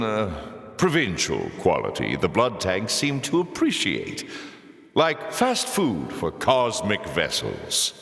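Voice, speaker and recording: An actor playing a sly, mocking fallen angel in a video game, urbane and theatrical, with a sardonic, silky, savouring delivery. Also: male voice, medium pitch, a bit bright, heavily acted. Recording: voice in echoey hall reverb.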